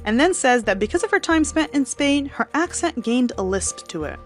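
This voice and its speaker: A woman's voice: sits high, expressive, normal speed, faint music behind. A woman in her twenties giving a casual, skeptical commentary. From United States. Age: 20s. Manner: chatty, skeptical. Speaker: commentary host.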